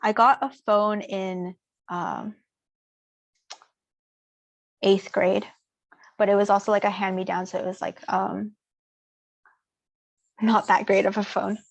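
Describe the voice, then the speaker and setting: A young woman speaking informally as a student presenter, answering audience questions in a relaxed way with many "um"s and "like"s. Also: medium pitch, slow pace, creaky, pauses often, dry recording. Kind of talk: casual Q&A seminar.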